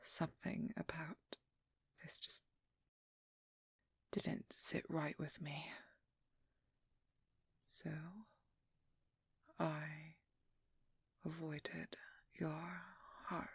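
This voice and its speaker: A woman playing a determined, exasperated vampire hunter with a guarded caring side, speaking softly and often in whispers in an intimate ASMR audio roleplay. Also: low voice, level tone, very slow, pauses often, no echo, exaggerated voice. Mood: quiet, guarded. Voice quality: soft, breathy, often whispered.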